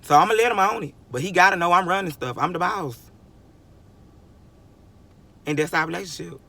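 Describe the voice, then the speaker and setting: A man's voice: high-pitched, fairly quick, creaky, bright, forceful tone. A man in his twenties giving a rambling, emphatic motivational monologue, often chuckling and restarting sentences. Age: 20s. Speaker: vlogger.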